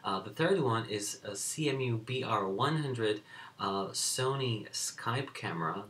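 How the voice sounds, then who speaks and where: A young man giving a casual tech review at a desk in a small home room, talking in a plain, measured way with frequent "uh" fillers, recorded on a built-in computer webcam mic. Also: fairly low pitch, fairly bright tone. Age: young adult. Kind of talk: casual spoken product review.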